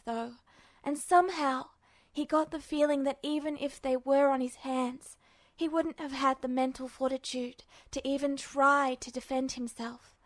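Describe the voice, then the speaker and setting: A woman reading fiction aloud as a scripted story narrator, measured, with dramatic pauses. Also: high-pitched. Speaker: amateur audiobook reader.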